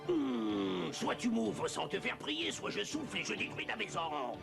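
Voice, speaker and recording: An actor playing a sly, hungry big bad wolf in a cartoon, gravelly and theatrical, wheedling and blustering. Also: male voice, high voice, big pitch swings, unhurried, heavily acted. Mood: threatening, impatient. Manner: theatrical, mock-polite wheedling turning to threats. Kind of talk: exaggerated cartoon dub acting. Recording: music behind the voice.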